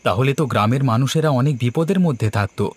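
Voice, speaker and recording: A man's voice: mid-range voice, fast, breathy edge, natural voice. A man voicing a curious son in a cartoon, questioning and impressed by scary stories. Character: curious son listening to mother's ghost stories. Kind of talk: animated story dialogue.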